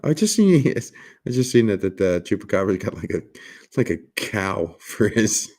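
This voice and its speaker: A man with a raspy smoker's voice hosting a casual livestream, joking with viewers and rambling through restarts with bursts of laughter. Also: lowish voice, very animated, moderate pace, darkish tone. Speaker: livestream host.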